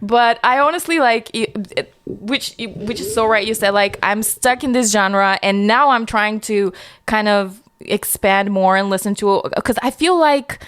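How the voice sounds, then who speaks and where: A woman's voice: medium pitch, a little slow, fairly bright tone, clean tone. A young woman from Bulgaria, a singer-songwriter, chatting casually as an interview guest and laughing easily.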